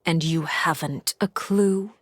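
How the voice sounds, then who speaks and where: Cool, cutting game diplomat. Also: female voice, deep voice, slow pace, a little hiss or hum. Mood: cold, pointed. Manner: pointed, formal, controlled. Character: cool, cutting female diplomat, stern and accusing. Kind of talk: video game voice acting.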